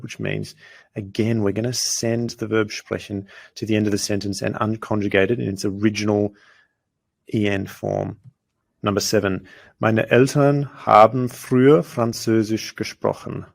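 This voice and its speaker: Low, gravelly male language teacher. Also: steady tone. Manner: patient, clear, slows down for foreign phrases. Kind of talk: explaining grammar with example sentences.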